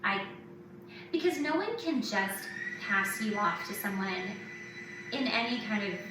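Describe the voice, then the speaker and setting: A woman's voice: mid-range voice, lively intonation, unhurried. A woman hosting a podcast, chatting casually in an informal, conversational way. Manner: informal, filler-heavy, conversational. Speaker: podcast host and author. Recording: very echoey, distant mic, clearly noisy.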